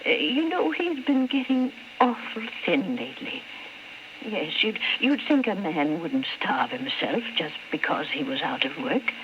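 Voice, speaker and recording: A woman's voice: on the low side, very animated, a little slow. An actress playing a kindly, fretful landlady in old-time radio drama style, hesitant and deferential as she speaks. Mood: worried, fond. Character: kindly, fretful female rooming-house landlady. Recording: muffled, noisy vintage radio recording.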